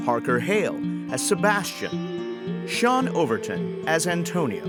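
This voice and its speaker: Animated male announcer over loud guitar. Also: fairly high pitch. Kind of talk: scripted credits announcement. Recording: loud guitar music behind. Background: plucked guitar music.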